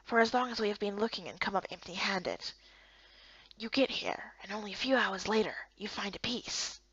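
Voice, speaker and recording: Cool young guardsman played by a woman, hushed and teasing, visual novel role, slightly dull recording. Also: mid-range voice, normal speed, slightly rough, no room sound. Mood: intrigued, serious.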